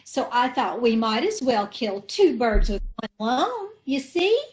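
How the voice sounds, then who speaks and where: A woman's voice: a little high, sing-song, a little slow. A woman playing a scatterbrained middle-aged housewife in an old-time radio comedy, naive and earnest, mixing up her words, heard over a video call.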